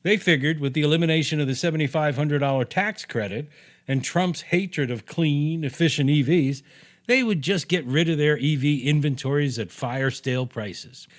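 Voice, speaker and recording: A man's voice: fairly high pitch, moderate pace. An American man reading his own opinion piece aloud in a punchy, sarcastic, salty-tongued way, as a podcast host.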